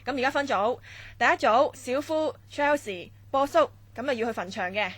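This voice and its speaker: A woman acting a brisk assistant camp instructor in a Cantonese radio drama, explaining tasks conversationally. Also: high voice, expressive, no room sound. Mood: businesslike. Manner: explaining tasks, chatty fillers.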